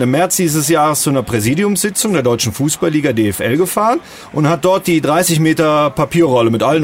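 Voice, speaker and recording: A man's voice: on the high side, a little hiss or hum. A male radio reporter explaining a story to the host in conversation.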